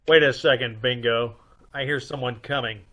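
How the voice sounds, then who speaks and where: A man playing a brisk, wisecracking private detective in an old-time radio mystery. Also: medium pitch, fairly even intonation, background noise, natural voice. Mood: brisk, probing. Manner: clipped, wry interrogating.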